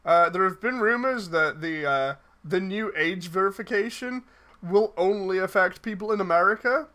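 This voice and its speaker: A man's voice: high voice, normal speed, bright, forceful tone. A man chatting casually on a livestream as he replies to messages, rambling and hesitant, often trailing off mid-thought. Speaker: streamer and project lead casting voice roles.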